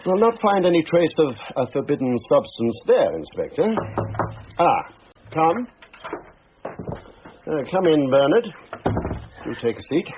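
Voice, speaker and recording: Upper-class racing steward: authoritative, pompous, expressive, slightly hissy, dull radio play recording. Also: male voice, fairly high pitch, measured pace, pauses often. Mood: measured, courteous. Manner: precise, pompous, commanding. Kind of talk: radio drama acting.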